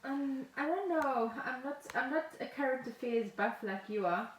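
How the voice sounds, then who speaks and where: A woman's voice: sits high, animated, moderate pace. A South African woman chatting casually as a podcast co-host, telling stories in a jokey way. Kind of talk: casual chat. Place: at home, indoors. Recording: roomy echo, faint noise, remote call.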